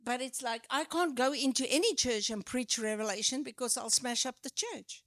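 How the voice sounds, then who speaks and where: Woman, South African accent, preaching, high-pitched, dry recording, animated. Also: no echo. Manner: animated, emphatic, drawn-out stresses. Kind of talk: sermon teaching.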